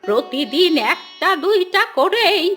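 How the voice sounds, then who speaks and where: A woman's voice: extremely high pitch, very animated, takes it slowly, a bit bright, soft background music, full character voice. A woman voicing a worried old village woman in a children's cartoon, lamenting plaintively. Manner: plaintive, wailing complaints.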